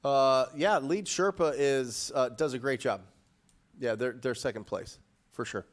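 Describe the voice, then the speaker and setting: An energetic man in his thirties hosts a live studio show. He answers viewer questions quickly and enthusiastically, often restarting his sentences. The voice is close and clear on a studio microphone. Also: mid-range voice. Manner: energetic, upbeat, many restarts. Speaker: host. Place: studio with purple backdrop. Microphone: studio mic on boom.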